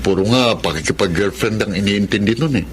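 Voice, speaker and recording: A man's voice: fairly low pitch, a little fast, noisy background, lightly acted. A man with a Filipino accent acting as a gossipy, skeptical college teacher in a radio-style drama. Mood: skeptical, curious.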